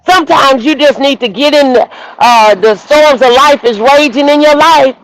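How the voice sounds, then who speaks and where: A woman minister hosting a livestream, preaching outdoors in the rain with repetition and rising shouts. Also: high voice, moderate pace, no room sound, rough recording. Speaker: minister and show host. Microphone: phone livestream.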